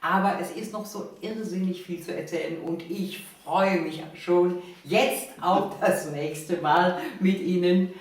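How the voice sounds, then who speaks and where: A woman's voice: fairly low pitch, steady tone, measured pace, hardly any pauses. Older woman hosting, heavily echoing room. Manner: emphatic, frequently affirming the guest. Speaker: host. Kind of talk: casual chat, hosting. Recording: very echoey room, distant mic.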